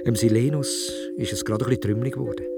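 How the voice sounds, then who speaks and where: A man with a Swiss German accent telling a children's story in dialect, expressive and playful. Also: medium pitch, very fast, gravelly, over loud music.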